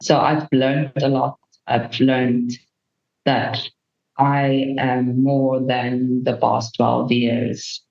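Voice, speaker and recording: A woman speaks as an interview guest in an earnest, measured way. Her voice carries a noticeable room echo. The recording sounds a little muffled and lo-fi. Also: very deep voice, level tone, creaky. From Namibia. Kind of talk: reflective interview answer. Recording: roomy, dull remote recording.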